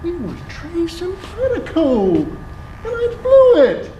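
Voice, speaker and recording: A flirtatious, regretful charmer played by a stage actor. The voice is high, mellow and very animated, sometimes halting. It echoes in a theatre with faint noise. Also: exaggerated voice. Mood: regretful, nostalgic. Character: Trip, flirtatious, regretful charmer, former lover. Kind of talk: live stage play acting. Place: theatre stage, recorded from the audience.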